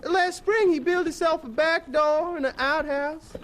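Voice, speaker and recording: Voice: high-pitched, unhurried, fairly bright tone, a little hiss or hum. An actor playing a cheeky, self-important young wagon driver with folksy rural speech in a radio drama. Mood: offhand, amused.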